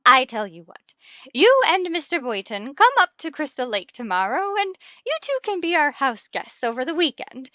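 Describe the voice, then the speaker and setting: An actress playing a chatty, gushing, meddling matchmaker wife in a vintage radio sitcom. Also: female voice, high-pitched, very animated, moderate pace, bright, forceful tone, no echo, studio quality, exaggerated voice. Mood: scheming, eager. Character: chatty, meddling married matron, eager matchmaker.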